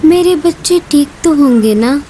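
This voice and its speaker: A woman's voice: high-pitched, expressive, measured pace, dark tone, a little hiss or hum, voice pushed up, low-quality recording. A woman voicing a devoted, anxious mother bird who speaks earnestly in an animal cartoon story. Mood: worried.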